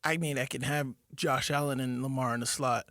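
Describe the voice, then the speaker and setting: A man co-hosting a sports talk radio show, talking with excitement and thinking aloud. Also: medium pitch, brisk, no echo, clean studio sound. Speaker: fill-in co-host.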